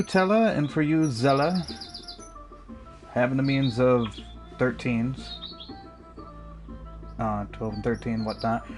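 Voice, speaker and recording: Game master for a tabletop session, mid-pitched, hesitant and wordy, light music with chirping birds underneath. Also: darkish tone. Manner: halting, roundabout phrasing. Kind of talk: casual tabletop game narration.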